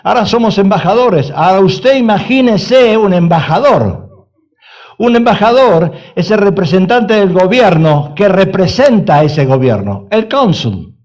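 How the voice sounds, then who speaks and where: A male pastor with a notably high voice delivers an insistent sermon. He hammers his points with repetition and asks questions of his listeners. The recording sounds dull and lo-fi. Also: expressive, measured pace, darkish tone. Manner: emphatic preaching with repetition and rhetorical questions.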